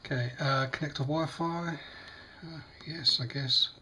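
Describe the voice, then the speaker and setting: Guy showing off a gadget, bit croaky, lots of uhs, faint hiss in the room. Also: on the high side, steady tone, slow, sharp tone, poor audio. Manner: hesitant, many fillers and restarts. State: slightly croaky voice. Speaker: tech unboxing vlogger. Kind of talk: casual product demo. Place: small indoor room at a table.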